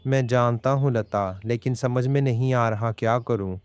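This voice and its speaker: A man voicing an earnest, humble village farmer and husband in a Hindi cartoon story, with expressive delivery. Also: mid-range voice, moderate pace, smooth, dry recording, close to an everyday voice. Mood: worried. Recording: background music under the voice.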